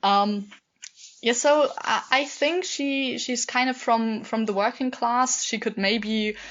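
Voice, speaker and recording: A woman with a fairly high voice talks in a casual, chatty style as a podcast guest. The recording sounds a little dull. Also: takes it slowly, a bit bright. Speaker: podcast guest, amateur audio play performer. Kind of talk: casual chat.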